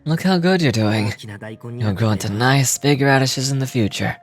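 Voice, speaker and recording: Lively-pitched young farmer played by a man. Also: moderate pace, lightly acted. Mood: gentle, friendly. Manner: casual, conversational. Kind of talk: video game voice acting.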